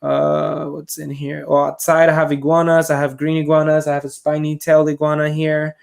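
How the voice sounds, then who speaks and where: A man's voice: fairly high pitch, completely flat delivery, moderate pace, gravelly, darkish tone, smooth. A man from Puerto Rico chatting casually as a podcast guest, with loose, informal, hesitant phrasing. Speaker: podcast guest, reptile keeper. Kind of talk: casual chat.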